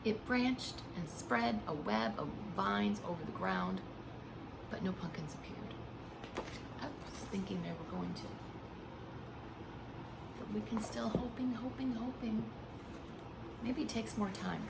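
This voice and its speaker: A woman with a medium-pitched voice reads a children's story aloud in the manner of a preschool teacher. She tells it expressively, dropping into hushed emphasis and speaking simply and directly to little listeners. There is clear background noise on the recording. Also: unhurried, pauses often. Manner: simple explanations and questions addressed to small children. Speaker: pre-K teacher. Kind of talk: read-aloud of a children's picture book with chatty asides to young listeners.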